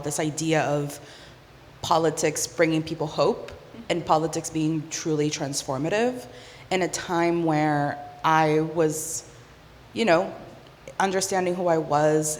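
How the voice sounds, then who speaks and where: Guest: woman from the Dominican Republic, low-pitched and earnest, long-reverb radio studio with faint hiss. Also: darkish tone. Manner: earnest, many fillers. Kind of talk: radio interview.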